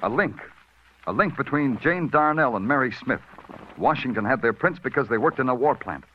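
A man's voice: medium pitch, animated, moderate pace, slight background noise, natural voice. An actor playing a terse, hard-boiled male police detective who narrates in 1940s radio drama style. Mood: brisk. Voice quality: brooding, clipped delivery. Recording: dull old radio recording with music.

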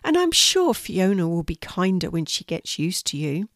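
A woman voicing a kind male stable animal in a children's story, speaking in a gentle, calm and reassuring manner. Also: lowish voice, moderate pace, dry recording, studio quality.

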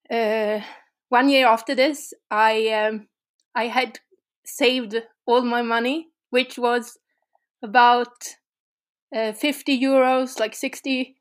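A Swedish woman guest speaking English in an interview, with a hesitant, thoughtful manner. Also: on the high side. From Sweden. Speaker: guest, urban explorer. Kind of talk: interview conversation.

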